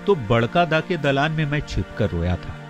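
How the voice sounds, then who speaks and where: A man's voice: fairly high pitch, very animated, normal speed. Sorrowful male poet over music. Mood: wistful, tender. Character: tender, sorrowful poet reading his own diary. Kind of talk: dramatized diary reading in a documentary.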